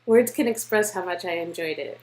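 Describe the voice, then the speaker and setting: A woman's voice: lowish voice, fairly quick, darkish tone, close to an everyday voice. A woman playing a playful, adventurous young woman on a date, in performed game dialogue. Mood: affectionate. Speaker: voice actor reading a visual novel love interest.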